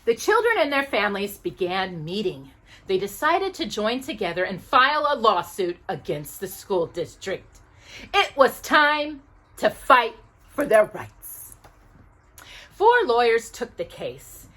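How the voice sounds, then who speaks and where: A woman hosting a children's storytime, reading aloud with emphatic stresses, dramatic pauses and asides to her listener. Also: mid-range voice, sing-song, bright, forceful tone.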